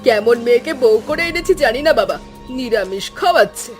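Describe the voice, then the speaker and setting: Woman as a high, snappish, bossy mother-in-law. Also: expressive, a little fast, lightly acted. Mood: angry, scolding. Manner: scolding, snappish. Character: cantankerous middle-aged mother-in-law, bossy and scornful. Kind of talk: Bengali cartoon dialogue acting. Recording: background music under voice.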